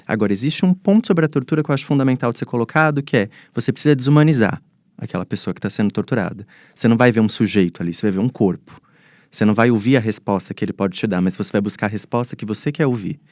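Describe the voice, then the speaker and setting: A man's voice: on the low side, rapid-fire, a little mellow, dry recording, studio quality. A Brazilian man giving reflective, articulate explanations as an expert guest in a panel interview, building his argument step by step.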